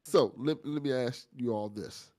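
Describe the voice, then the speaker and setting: A man's voice: medium pitch, a little slow, a little mellow. A man hosting a casual panel chat and posing questions to the guests. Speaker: panel host.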